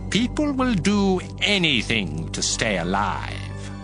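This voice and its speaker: Sinister tempter spirit: sly, extremely slow and drawn out, croaky fry, audio Bible drama. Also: male voice, a little high, wildly swooping pitch, over music, full character voice. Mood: sly, taunting.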